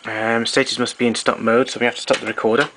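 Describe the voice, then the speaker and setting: A man's voice: medium pitch, flat delivery, fast talker, sharp tone. A man giving an informal technical demonstration, explaining things in a matter-of-fact, slightly hesitant way. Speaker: tape recorder repairer giving a demonstration. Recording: noisy amateur recording with clicks.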